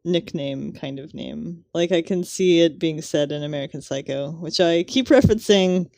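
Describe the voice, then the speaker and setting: Slightly low-voiced woman podcaster. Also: moderate pace, gravelly, darkish tone, no room sound. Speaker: podcast co-host. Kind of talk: casual comedic book discussion.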